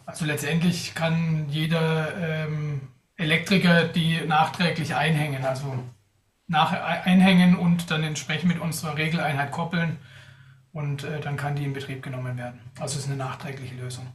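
A man's voice: on the high side, flat delivery, normal speed, recorded from a distance. A young man answering audience questions on a live video call, thoughtful, with many hesitations. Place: indoors in front of a sponsor backdrop. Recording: roomy, off-mic sound.

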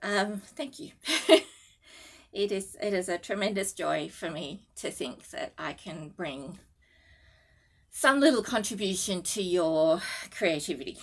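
A woman's voice: on the low side, lively intonation, measured pace, breathy edge. A middle-aged Australian woman chatting to camera from her living room, giggly and a little nervous, with lots of fillers and restarts. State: sleep-deprived and a little nervous. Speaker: needlework designer and vlogger. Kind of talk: casual chat to camera.

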